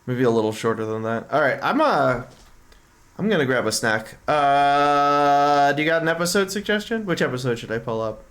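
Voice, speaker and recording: Woman, extremely low pitch, casual livestream chat, streamer. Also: animated, moderate pace.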